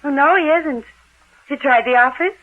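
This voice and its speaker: An actress playing a chatty, gracious American housewife with an effusive, hospitable manner in an old radio drama. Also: female voice, high voice, moderate pace, noisy background, natural voice. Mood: breezy, welcoming. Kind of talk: 1950s radio drama acting. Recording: muffled old radio recording.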